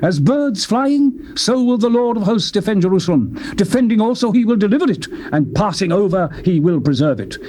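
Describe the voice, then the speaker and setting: A man reading scripture aloud in a solemn, declamatory manner, with wide swings in pitch. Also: animated, brisk, hardly any pauses. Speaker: Bible reader. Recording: loud background noise.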